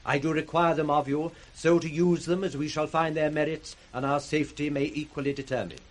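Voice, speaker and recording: A man plays an authoritative duke in a classic radio tragedy. The voice sits a little high, and he declaims formally and firmly, stern and righteous. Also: steady tone, brisk, natural voice. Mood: formal authority. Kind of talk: 1960s radio Shakespeare acting.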